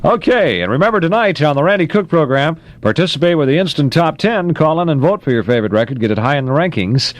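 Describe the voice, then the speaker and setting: A man's voice: fairly high pitch, sing-song, fast talker, hardly any pauses, dry recording. A man working as a 1960s top-40 radio disc jockey in a studio, giving breezy, punning patter with the time and temperature between records.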